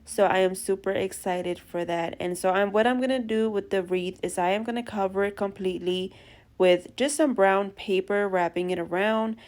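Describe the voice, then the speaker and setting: A young woman with a stuffy nose from allergies, chatting warmly through a DIY craft tutorial and gushing to her viewers. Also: mid-range voice, moderate pace. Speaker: craft vlogger.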